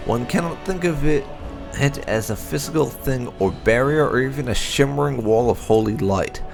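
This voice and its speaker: A man narrating fantasy game lore aloud, with deliberate, dramatic emphasis as a video host. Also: medium pitch, vocal fry, over music.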